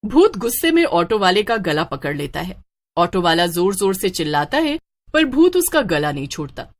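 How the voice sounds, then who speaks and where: A woman's voice: fairly low pitch, sing-song, moderate pace. A woman storyteller reading scripted narration for a horror story.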